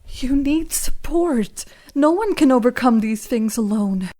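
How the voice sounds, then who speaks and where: A fairly high female voice with slow pacing acted by a woman for a tender, concerned wife in a fantasy audio drama. Her phrasing is gentle and formal. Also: animated. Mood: worried. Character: tender, worried wife of a pack leader.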